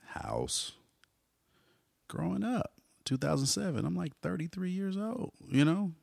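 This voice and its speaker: A man in his forties, a hip hop artist telling stories in a relaxed interview, dropping "you know" often. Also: high voice, a little slow, vocal fry, no room sound, studio quality. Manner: laid-back storyteller, frequent "you know". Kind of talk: casual conversational interview.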